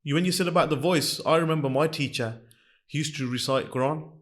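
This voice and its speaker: Fast-talking podcast host. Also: male voice, fairly high pitch. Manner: chimes in, finishing the guest's sentences. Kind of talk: casual interview talk.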